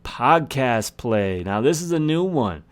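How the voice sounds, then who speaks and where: A man's voice: mid-range voice, sing-song, takes it slowly. A man hosting a podcast, explaining tips in a scripted monologue with emphatic, short punchy phrases. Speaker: podcast host.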